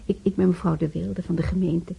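A woman's voice: fairly low pitch, flat delivery, brisk, creaky, warm tone, slightly rough, full character voice, poor audio. An actress playing a chatty, well-meaning council visitor in a radio drama, stammering and repeating her words solicitously.

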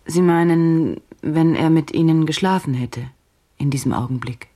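An actress playing a probing, reflective woman journalist in a radio drama, with a deliberate, brooding delivery. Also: low voice, warm tone.

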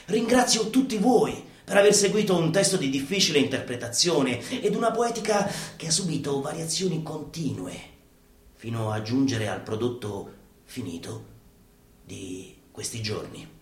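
A man delivering a theatrical, mock-instructional monologue in a deadpan, didactic manner, as a live stage performer. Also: a little high, moderate pace. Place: live performance space, roomy. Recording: roomy live stage recording with guitar music. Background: live guitar music.

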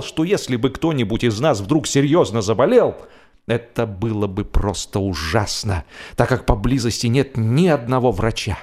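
A man narrating a humorous novel expressively, with a theatrical, deliberate delivery that draws out phrases for drama. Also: mid-range voice, darkish tone. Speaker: audiobook narrator.

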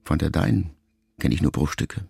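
A man reading a letter aloud as an audiobook, in a measured, reflective way. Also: low voice, creaky. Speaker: narrator. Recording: loud music underneath.

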